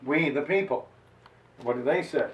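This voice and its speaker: A man giving an emphatic, declamatory dramatized reading of a historical chronicle, stretching out phrases deliberately. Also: a little high, muffled recording. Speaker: reader and lecturer on history. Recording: roomy echo, voice distant from microphone.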